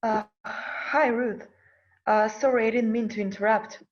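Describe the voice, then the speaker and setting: A woman's voice: on the high side, fairly even intonation, a little slow, lightly acted. A woman in a script table read playing an anxious, curious young woman, with hesitant, questioning delivery. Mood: flustered. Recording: dull lo-fi sound.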